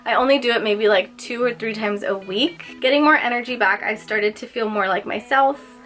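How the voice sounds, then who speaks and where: A woman's voice: a little high, moderate pace, a bit bright. Young woman, nasal, congested, chatty, filler-heavy, faint music behind. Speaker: vlogger. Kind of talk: casual personal vlog.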